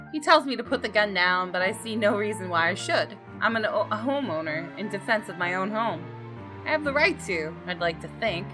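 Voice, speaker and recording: A woman's voice: mid-range voice, natural voice. A woman reading visual novel narration dramatically, voicing the thoughts of an arrogant, menacing gun owner. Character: haughty, menacing gunman homeowner narrating his thoughts. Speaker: gaming video host. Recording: clear music behind the voice.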